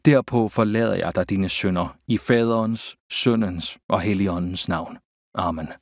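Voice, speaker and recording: A man voicing a grave priest in a video game, reciting blessings in a hushed, ritual way. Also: deep voice, no room sound, clean studio sound. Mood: solemn. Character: solemn male battlefield priest blessing and absolving soldiers. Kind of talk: video game character voicelines.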